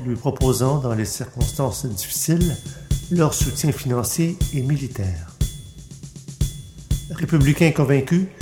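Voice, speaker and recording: A male podcast host reads a script aloud at a middling pitch. The recording is roomy and echoing, with clear music behind him. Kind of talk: scripted narration, reading aloud.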